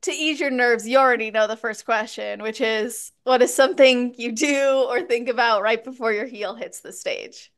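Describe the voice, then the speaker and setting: A woman's voice: a little high, moderate pace, few pauses. Bubbly woman hosting a podcast. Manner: bubbly, laughs often. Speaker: podcast host. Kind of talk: casual interview chat.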